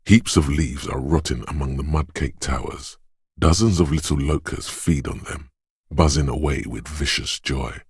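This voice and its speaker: A man voicing an inner sense of perception in a video game, narrating in a hushed, husky, deliberate and poetic way, savoring each sound. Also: rumbling low, dry recording, full character voice. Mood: hushed, watchful. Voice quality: husky, gravelly, breathy. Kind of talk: atmospheric scripted game narration.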